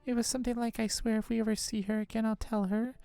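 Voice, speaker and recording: A woman voicing an emotional, caring schoolgirl in a visual novel, earnest and expressive. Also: fairly high pitch, fast, creaky, smooth, no echo, faint music behind. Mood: teasing, prodding. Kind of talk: live visual novel voice acting.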